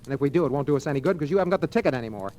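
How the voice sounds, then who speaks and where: An actor playing a glum, sensible American bookkeeper, plainspoken and dry, in vintage radio drama style. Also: male voice, a little high, lively intonation, very fast, dark tone, a little hiss or hum, lightly acted. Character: glum, sensible, honest bookkeeper, resigned to trouble. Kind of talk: 1940s-50s American radio drama acting. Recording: old radio recording, faint music.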